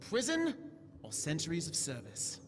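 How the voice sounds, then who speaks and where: A man playing a high-voiced, grandiose time-traveller. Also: huge pitch swings, normal speed, slight background noise. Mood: stern, commanding. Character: eccentric, commanding, kindly male time-travelling alien adventurer. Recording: echoey stage hall with music.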